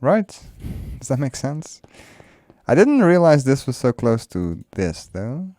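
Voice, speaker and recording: A man's voice: mid-range voice, sing-song, takes it slowly, dark tone, dry recording, clean studio sound. A man whose first language is Dutch, casually commenting while he builds in a game, musing aloud and laughing often. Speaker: let's play creator.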